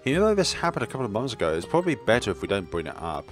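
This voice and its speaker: A man's voice: on the low side, sing-song, very fast. A man reading a visual novel aloud for a gaming video, dropping in casual, musing side remarks. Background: game music.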